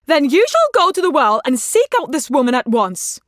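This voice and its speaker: Woman as a high-voiced, scheming stepmother. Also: very animated, moderate pace, no echo, studio quality. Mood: greedy, eager. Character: greedy, scheming, stern middle-aged stepmother. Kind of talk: fairy tale character acting.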